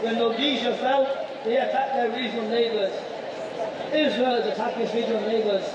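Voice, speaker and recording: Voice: medium pitch, steady tone, brisk, dull audio. A protest speaker giving a declamatory, emphatic political speech with rhetorical repetition. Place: outdoors at a rally. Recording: distant, echoing, noisy outdoor crowd.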